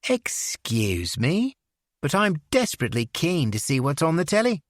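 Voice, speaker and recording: A man's voice: on the high side, huge pitch swings, moderate pace, no echo, clean studio sound, voice pushed up. A man voicing an unhinged, menacing young man who slips into a put-on English accent. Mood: mocking menace.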